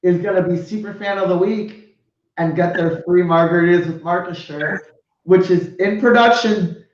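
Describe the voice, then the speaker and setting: A man's voice: high-pitched, normal speed. A man hosting a casual live show, effusive, swearing and laughing as he talks to guests. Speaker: host. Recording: echoey lo-fi stream audio.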